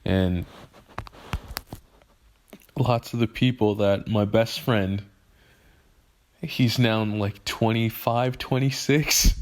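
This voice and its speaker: A man of twenty-one talks to camera in a halting, emotional way, often restarting his sentences as he vents about growing up. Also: on the low side, fairly even intonation. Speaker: vlogger. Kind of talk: personal venting monologue.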